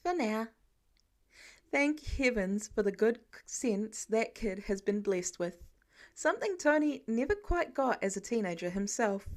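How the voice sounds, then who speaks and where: Higher-voiced woman narrating a story. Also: animated, normal speed. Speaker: fan fiction podfic narrator.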